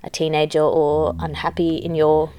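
A woman's voice: low voice, monotone, normal speed, dark tone, clear, resonant tone, no room sound. A woman comedian chatting backstage, talking things through in a thoughtful, analytical way. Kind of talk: casual backstage chat. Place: backstage at a venue.

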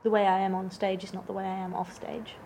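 A woman comedian co-hosting a casual podcast, speaking in a dry, deliberate way with careful word choice. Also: medium pitch, level tone, creaky, mellow, a little hiss or hum. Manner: dry, deliberate, precise wording. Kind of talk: casual chat.

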